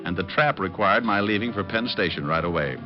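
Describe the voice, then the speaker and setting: An actor playing a cocky, wisecracking private detective, breezy and sardonic, in an old radio drama. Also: male voice, low voice, animated, a little fast. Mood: wry narration. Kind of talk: 1940s radio detective acting and narration. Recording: muffled old radio recording with music.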